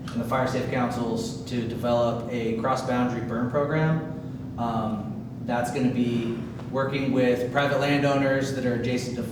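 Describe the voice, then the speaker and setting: A man presenting at a town hall, pausing often with ums and picking his words as he goes. His voice sits at an ordinary pitch. It is picked up from well back in a very echoey hall, with clear noise under it. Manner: halting, frequent ums and restarts. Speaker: fire management specialist. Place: community meeting hall. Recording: echoey hall, distant, noisy.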